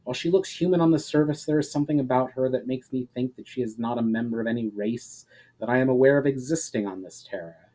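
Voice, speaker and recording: A man's voice: mid-range voice, fast talker, warm tone, natural voice, poor audio. Man voicing an indignant elf hero, insistent. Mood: angry, frustrated. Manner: insistent, demanding questioning. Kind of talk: fantasy audiobook dialogue.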